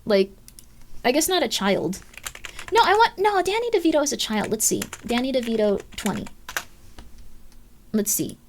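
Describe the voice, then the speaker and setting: A young woman chatting on a live stream, her slightly high voice jumping up and down as she talks. She rambles through viewer comments with loads of "like" fillers and an easygoing pace. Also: sing-song, normal speed, pauses often, slight background noise. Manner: rambling, lots of "like" fillers, laughs often, swings up and down in pitch.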